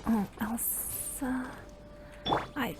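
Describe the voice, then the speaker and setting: A woman's voice: on the high side, very slow, over loud music. A woman giving casual, sparse gameplay commentary in hesitant, murmured reactions. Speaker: gameplay streamer.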